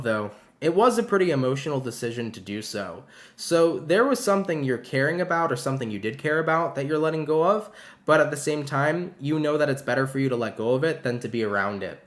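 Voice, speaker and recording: A man's voice: medium pitch, roomy sound. A man with a stuffy nose from allergies giving a casual, encouraging tarot reading to viewers. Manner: chatty and encouraging, calls viewers "baby". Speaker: tarot reader.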